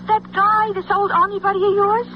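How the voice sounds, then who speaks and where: Brassy high-pitched American dame, tinny radio. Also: female voice, flat delivery, fairly quick, background noise. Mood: excited, impatient. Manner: slangy, sharp-tongued, nagging. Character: brassy, impatient, suspicious working-class woman. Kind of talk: 1970s radio drama acting. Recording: tinny old radio, music behind.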